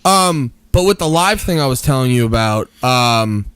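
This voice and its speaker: A man chatting on a podcast, quick to laugh and jumping from one thought to the next. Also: medium pitch, clear, resonant tone, no echo. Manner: animated, laughs easily, trails off into new thoughts. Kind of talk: casual podcast chat.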